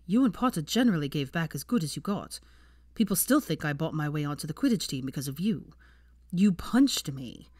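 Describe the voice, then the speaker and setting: A woman's voice: sits low, animated, brisk, darkish tone, no room sound, clean studio sound. A woman reading the part of a bitter, defensive seventeen-year-old wizard boy with a cold temper in an audiobook story. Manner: profane, bitter, arguing in long bursts. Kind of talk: fan fiction audiobook character dialogue.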